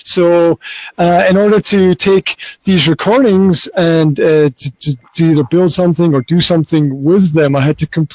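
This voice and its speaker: A middle-aged man with a slightly raised pitch talks loosely and easily, doubling back on his words and acting out little remembered exchanges. The sound is close and dry, with little room around it, picked up on a phone outdoors on a balcony. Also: normal speed, dry recording, low-quality recording. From Scotland. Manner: relaxed, rambling, with frequent restarts and quoted asides. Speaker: podcast host and business coach. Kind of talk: casual conversation over an app. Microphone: mobile phone.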